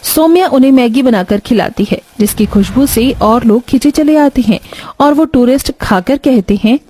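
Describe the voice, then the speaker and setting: A woman's voice: high voice, lively intonation, normal speed, mellow, slight background noise. A woman reading scripted narration for a moral story.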